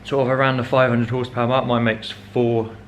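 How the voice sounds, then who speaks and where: A man's voice: mid-range voice, flat delivery, a little fast. Young man, rambling garage vlog talk, lots of ums, faint background hiss. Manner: rambling, many ums, self-correcting. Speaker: car vlogger.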